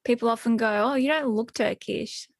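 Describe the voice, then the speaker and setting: A woman's voice: fairly high pitch, normal speed, no room sound, studio quality. A woman hosting a workplace podcast talks in a chatty, friendly way, with plenty of fillers and restarts. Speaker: podcast host, team member.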